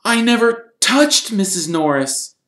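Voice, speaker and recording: A man voicing an earnest, defensive schoolboy from a storybook, protesting loudly. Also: high-pitched, very animated, slow pace, echoey room, voice pushed up, heavily acted. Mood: indignant. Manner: loud, protesting.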